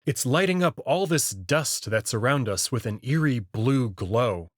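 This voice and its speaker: A man narrating a first-person sci-fi story in a slow, measured reading. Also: mid-range voice, lively intonation, no echo, studio quality.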